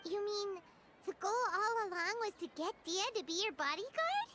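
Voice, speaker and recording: A woman voicing a tiny, chirpy, floating female companion creature in a game, with a squeaky, excitable, sing-song manner. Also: normal speed, a little hiss or hum, full character voice. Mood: curious. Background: game music.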